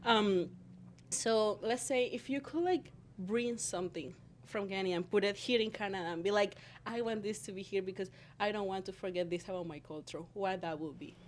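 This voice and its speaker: A woman co-hosting a podcast, asking questions in a halting way with many fillers. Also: mid-range voice, a little hiss or hum. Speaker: podcast co-host. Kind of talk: casual chat.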